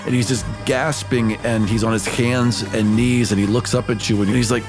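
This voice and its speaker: A man's voice: medium pitch, fairly even intonation, normal speed, over music. A man playing a tabletop game, casually narrating his character's moves and dice rolls.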